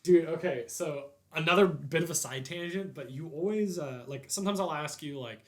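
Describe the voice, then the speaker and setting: A man's voice: on the high side, a little fast. A man hosting a podcast as an informal chat, speaking in a hesitant, self-deprecating, conversational way. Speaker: podcast host. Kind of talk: casual chat.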